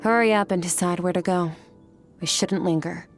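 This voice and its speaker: A woman's voice: fairly low pitch, moderate pace, soft background music, lightly acted. A woman playing a stoic, battle-hardened, aloof female warrior in a fantasy game, terse and commanding. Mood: stoic, brisk. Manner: terse, matter-of-fact, commanding. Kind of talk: scripted fantasy game voice acting.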